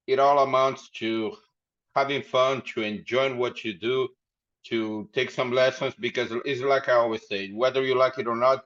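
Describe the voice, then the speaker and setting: A man's voice: mid-range voice, fairly bright tone. A man, a company founder telling his story in a casual interview, rambling and laughing often. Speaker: podcast guest, company founder. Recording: dull lo-fi sound.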